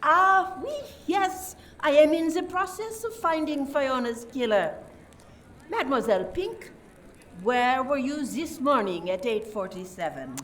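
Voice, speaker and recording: Slow, deliberate female inspector played by an actress, miked distantly. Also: high-pitched, lively intonation, a bit bright. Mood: insistent. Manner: deliberate, theatrical, repeats words for emphasis. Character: methodical, suspicious French police inspector, politely condescending. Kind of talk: amateur radio play acting. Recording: distant mic, faint noise, room ambience.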